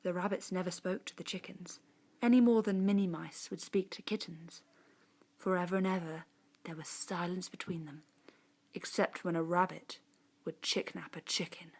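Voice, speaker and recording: A woman reading a children's story-poem in verse, theatrical and expressive, with hushed moments and dramatic swells. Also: lowish voice, normal speed.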